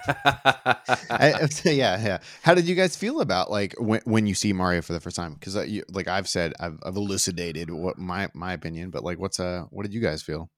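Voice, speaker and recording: A man's voice: deep voice, normal speed, no echo, studio quality. A man hosting a casual discussion of a movie trailer, speaking with enthusiasm and asking the others for their opinions. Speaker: podcast host.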